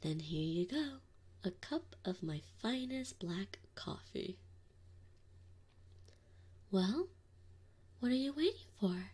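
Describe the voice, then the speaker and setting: A woman playing a doting, gently teasing wolf mother in an ASMR roleplay, speaking softly and often in a whisper. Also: medium pitch, big pitch swings, husky, exaggerated voice. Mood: warm, teasing. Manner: soft, slow, often whispered. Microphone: binaural mic.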